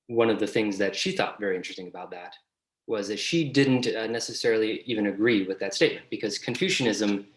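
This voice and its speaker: Echoey, low-voiced American man lecturing. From United States. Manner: conversational, explanatory. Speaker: guest seminar lecturer. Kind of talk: explanatory lecture with slides. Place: online video call. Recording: echoey room sound.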